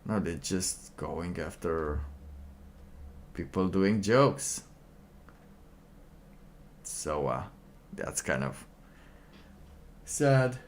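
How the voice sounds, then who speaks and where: Male streamer, deep register, unhurried and halting, thinking aloud in loose, trailing phrases. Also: lively intonation, a little hiss or hum. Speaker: livestreamer. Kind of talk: casual livestream monologue.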